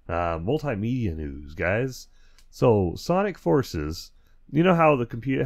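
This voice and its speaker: A man's voice: medium pitch, darkish tone. A man hosting his own podcast in a casual, rambling way, talking through a lingering cold with a dry, stuffy throat. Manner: rambling and self-correcting, with chuckles. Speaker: podcast host. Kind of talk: casual solo podcast chat.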